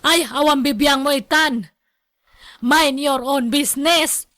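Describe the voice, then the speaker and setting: Actress as firm Ilocano mother, higher-pitched voice. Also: slow, fairly bright tone, dry recording, clean studio sound. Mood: defensive. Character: strong-willed middle-aged mother, protective of her son. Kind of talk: radio drama acting.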